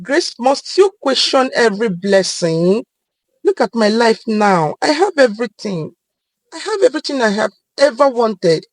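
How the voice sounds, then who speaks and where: Woman voicing a proud, insistent young woman, lively pitch. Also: unhurried, no echo. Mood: exasperated. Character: proud, defensive young woman dazzled by wealth. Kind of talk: dramatic storybook dialogue.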